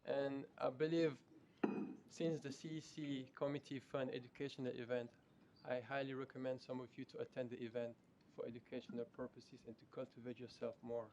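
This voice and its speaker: A man's voice: mid-range voice, little pitch movement, brisk, a little mellow, slight background noise, poor audio. Blunt man from Senegal debating. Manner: blunt and informal, with casual fillers. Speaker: student government director. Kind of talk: impromptu floor debate. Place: student senate meeting room.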